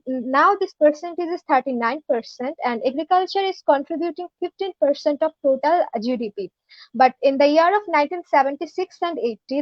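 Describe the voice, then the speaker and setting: A woman, an intern student answering interview questions earnestly, pausing often with fillers. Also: sits high, no room sound, dull audio. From Bangladesh. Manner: earnest, hesitant with frequent filler pauses. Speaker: guest, veterinary intern student.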